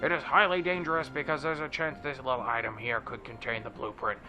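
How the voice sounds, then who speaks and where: A man's voice: medium pitch, fairly even intonation, fairly quick, music in the background, natural voice. A man doing a stuffy, preachy church official. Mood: ominous. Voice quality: stuffy, nasal.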